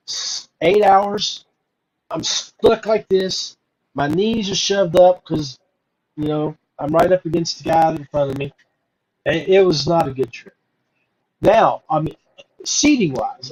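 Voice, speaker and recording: Older-ish guy podcasting, kinda high voice, folksy, rambles and repeats himself, stop and start. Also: a little mellow, slightly rough, poor audio. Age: middle-aged or older. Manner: halting, folksy, repeats words. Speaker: podcast host. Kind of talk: casual rambling monologue to viewers.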